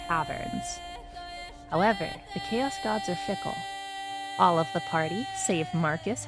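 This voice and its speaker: Low voiced lady game master, fantasy rpg stream, music playing behind her. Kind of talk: casual table talk and dramatic scripted fantasy recap narration.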